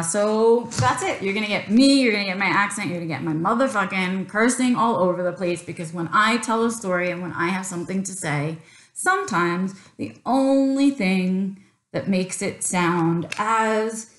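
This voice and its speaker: A woman's voice: medium pitch, normal speed, a bit bright. A woman in her thirties from Queens with a New York accent, talking candidly to camera in an unscripted vlog, with many ums and a passionate streak. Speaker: vlogger. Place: small room indoors, plain backdrop. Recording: roomy echo.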